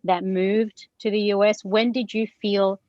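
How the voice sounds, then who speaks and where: A woman born in Chile and raised in Australia, moderating a panel on a video call, speaking earnestly and a little haltingly. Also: medium pitch, little pitch movement, darkish tone, no room sound, dull audio.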